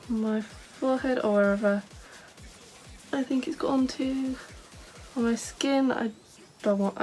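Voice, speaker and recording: A young woman vlogging in a casual, rambling way, full of "like" fillers, with a scratchy voice today. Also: on the high side. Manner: chatty, rambling, many "like" fillers. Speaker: beauty vlogger. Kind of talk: casual chat to camera.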